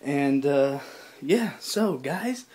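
A man's voice: on the high side, animated, extremely slow, mellow, a little hiss or hum. Young man rambling into his phone. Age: young adult. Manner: rambling, many fillers and restarts. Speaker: vlogger. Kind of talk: casual vlog chat to camera. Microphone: phone at arm's length.

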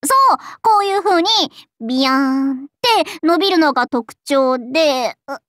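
A woman's voice: high voice, expressive, moderate pace, fairly bright tone, no room sound, studio quality. A woman voicing a cheerful, scatterbrained androgynous young male knight in an anime game, playful and impulsive. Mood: playful, flustered. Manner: bubbly, impulsive, playful exclamations. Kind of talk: anime game character acting.

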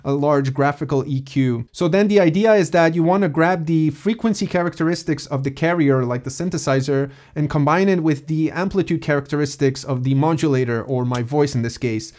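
A man in his thirties explains software step by step in an informal, methodical tutorial style, recorded on a close mic. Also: a little high. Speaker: music production tutor. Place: small home studio room.

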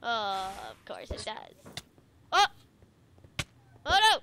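A woman's voice: on the high side, huge pitch swings, takes it slowly, creaky, bright, forceful tone. A young woman chatting casually while playing a video game, as host of a gaming video. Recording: clicky, noisy home gaming recording.

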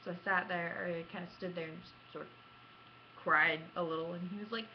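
A young adult woman chatting casually to camera as a gaming vlogger, with an animated, self-deprecating manner and dramatic emphasis. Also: on the low side, a little fast. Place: small room at home, indoors. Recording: muffled lo-fi webcam audio, background noise.

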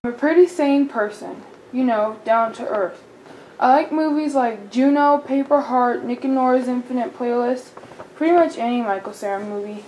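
A woman's voice: on the high side, normal speed, darkish tone, slight background noise, natural voice, rough recording. A young woman voicing a brash, blunt party-girl doll in her early twenties.

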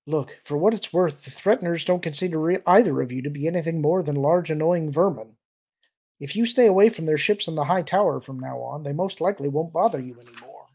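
A man reads a wry, pragmatic woman adventurer from a storybook. She is brisk, dry and matter-of-fact, with a husky voice and some creak. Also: a little high, a little mellow.

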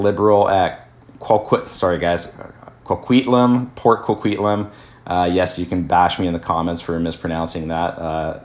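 Man, low voice, podcast host, reading news aloud, halting, many fillers, slightly worn voice. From Canada. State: losing his voice. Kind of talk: casual commentary and reading news articles aloud.

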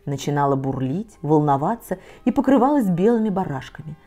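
A middle-aged woman reading a children's fairy tale aloud in a soft, measured way, sometimes sinking almost to a whisper. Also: low-pitched, sing-song, soft background music. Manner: soft, measured, often dropping to a near whisper. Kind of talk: gentle children's story reading. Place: cozy living room set with bookshelves, in an armchair.

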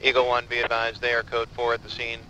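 A man's voice: medium pitch, monotone, fast talker, pushed and bright, a little hiss or hum, rough recording. A man giving terse, procedural police radio reports as an air unit in game role-play.

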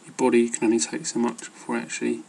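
A man talks off the cuff like an amateur fitness vlogger. His voice is gravelly and stays in a narrow, monotone range. He hesitates and rambles loosely over slight ambient noise. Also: medium pitch, very fast. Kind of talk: casual rambling advice talk.

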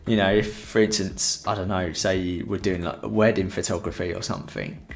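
A man's voice: sits low, fairly even intonation, brisk, gravelly. A young man with a headset mic, giving a rambling, chatty photography tutorial in a room at home. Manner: rambling, chatty, many fillers. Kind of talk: casual live-stream tutorial.

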